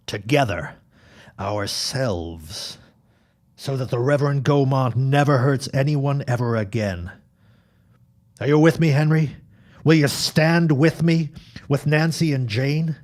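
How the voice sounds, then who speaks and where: A man's voice: medium pitch, lively intonation, slow, long pauses, darkish tone. An actor playing a resolute, protective father and neighbor of the early twentieth century in an audio drama. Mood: urgent, determined.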